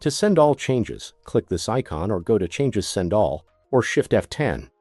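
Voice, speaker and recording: A man's voice: on the low side, sing-song, unhurried, darkish tone, no echo, faint music behind. A man narrating a scripted product tutorial as a demonstrator, giving methodical step-by-step instructions.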